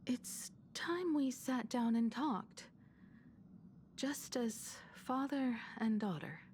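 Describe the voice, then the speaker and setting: A woman voicing a composed, grave young woman in a video game, speaking in a measured, restrained way. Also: fairly high pitch, darkish tone, a little hiss or hum. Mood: quiet, resolute. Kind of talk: dramatic video game voice acting. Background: prominent music.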